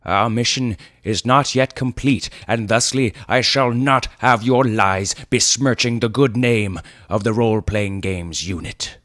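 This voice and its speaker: A man's voice: fairly low pitch, measured pace, hardly any pauses, fairly bright tone, dry recording, studio quality, voice pushed up. A man voicing a wise old leader who speaks formally and earnestly. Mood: solemn.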